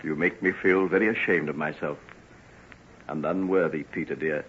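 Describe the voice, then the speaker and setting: An actor playing a troubled, remorseful gentleman doctor, earnest and theatrical, in an old-time radio drama. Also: very deep voice, fairly even intonation, normal speed, slightly rough, heavily acted. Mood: anguished, remorseful. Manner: earnest, theatrical, formal phrasing. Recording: muffled, noisy old radio recording.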